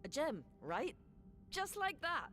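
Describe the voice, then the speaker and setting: A woman's voice: high-pitched, wildly swooping pitch, slow pace, music in the background, exaggerated voice. A woman playing a grumbling, sarcastic adventurer in a video game cutscene. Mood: wry, wary. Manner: sarcastic, dry.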